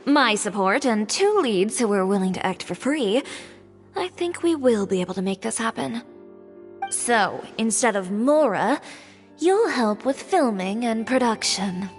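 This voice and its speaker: A woman playing a confident, encouraging young businesswoman in a video game, with a brisk, decisive manner. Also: fairly high pitch, expressive. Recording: reverberant hall, faint music underneath.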